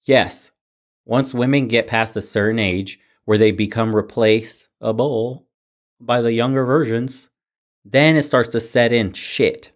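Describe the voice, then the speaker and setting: A man's voice: medium pitch, steady tone, measured pace. A man reacting to video clips as host, blunt, scolding and sarcastic. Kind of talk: commentary on clips.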